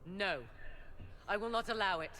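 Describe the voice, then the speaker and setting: A woman's voice: medium pitch, normal speed, sharp tone, slight background noise, lightly acted. A woman playing a proud, defiant sorceress and protective mother in a video game, haughty and emphatic. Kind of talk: dramatic video game acting.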